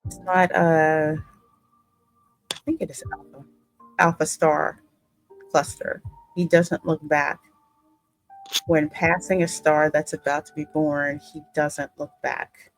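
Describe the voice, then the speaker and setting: A woman's voice: sits low, flat delivery, slow pace, long pauses, soft background music, low-quality recording. A woman gaming host reading a story aloud and breaking into casual comments. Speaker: gaming video host and narrator.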